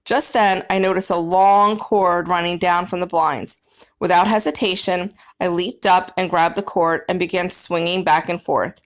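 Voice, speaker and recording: A woman's voice: lowish voice, steady tone, normal speed. A woman reading a children's book aloud as first-person narrator, with dramatic, emphatic storybook phrasing.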